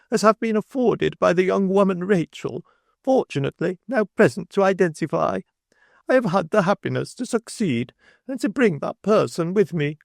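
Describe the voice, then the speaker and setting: A man reading aloud and voicing a pompous, proper middle-aged woman who is triumphant and officious, in a high, overbearing voice. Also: gravelly, no room sound, clean studio sound, full character voice. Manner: shrill, high, stately and overbearing.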